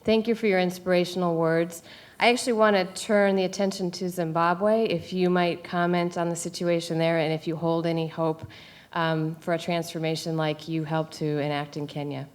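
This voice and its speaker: A woman on university staff asks a composed, polite question from the audience. Her voice is smooth and fairly low, held at an even tone. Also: moderate pace.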